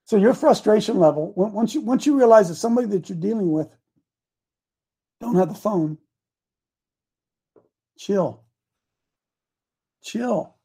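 A man's voice: high-pitched, expressive, unhurried, pauses often, dark tone, slightly rough. A man in his seventies preaching a casual, folksy sermon, repeating his points with emphasis. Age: 70s.

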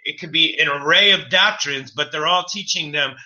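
A man's voice: a little high, moderate pace, pushed and bright, poor audio. A man who hosts his own show, talking earnestly about religion with many stammered restarts. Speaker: co-host, radio show host. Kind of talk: religious talk.

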